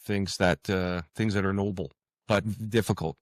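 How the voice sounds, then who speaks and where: Deep-voiced man, halting and earnest. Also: steady tone, dry recording, clean studio sound. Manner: halting, searching for words, earnest. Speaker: guest author. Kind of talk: conversational interview answers.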